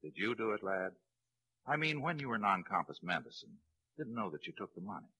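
An actor playing a shrewd police lieutenant in a crime drama, a probing interrogator who can sound falsely kindly. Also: male voice, on the low side, very animated, brisk, sharp tone, breathy edge, exaggerated voice, poor audio. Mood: coaxing. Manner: probing, soothing then blunt. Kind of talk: dramatic film-noir crime acting.

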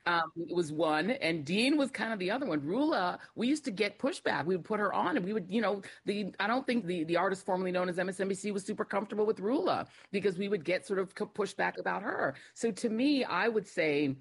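A woman's voice: mid-range voice, animated, fairly quick, few pauses, slightly rough. A middle-aged woman guest, a former TV host, speaking confidently and directly. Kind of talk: panel interview.

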